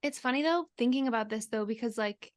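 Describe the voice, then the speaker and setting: A woman's voice: a little high, fast talker, no room sound, clean studio sound. A woman co-hosting a podcast in casual chat, circling toward her point with hesitant, restarted phrasing. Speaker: podcast co-host.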